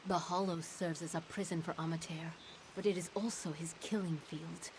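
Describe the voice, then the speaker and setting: A woman voicing a solemn, mournful fey herald in a fantasy game, speaking in a grave, measured, formal way. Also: lowish voice, fairly even intonation, background noise, lightly acted. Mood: grave, warning.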